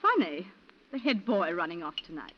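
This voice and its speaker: An actress playing a genteel, controlled planter's wife in a 1940s radio drama, with dramatic delivery. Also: female voice, lowish voice, big pitch swings, moderate pace, noisy background. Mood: composed, gracious. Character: composed, genteel colonial planter's wife accused of murder. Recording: muffled 1940s radio recording.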